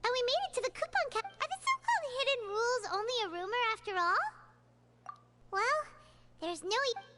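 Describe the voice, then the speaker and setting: A woman's voice: extremely high pitch, sing-song, a little fast. A woman voicing a tiny, chatty, dramatic fairy companion who talks about herself in third person, in game dialogue. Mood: exasperated. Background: game music.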